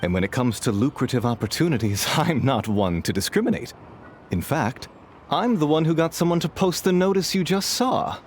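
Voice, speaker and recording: An actor playing a suave, scheming businessman in a video game, speaking with smooth, mocking politeness. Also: fairly high pitch, animated, moderate pace, a little hiss or hum, natural voice. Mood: smugly amused.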